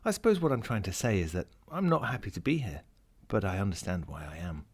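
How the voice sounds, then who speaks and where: A man's voice: lowish voice, very animated, a little fast, slightly rough. A man voicing a lonely, wry lost ring as he reads a first-person short story in a dramatic, melancholic way. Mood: resigned, reflective. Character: lonely, world-weary, wry sentient ring lost at sea.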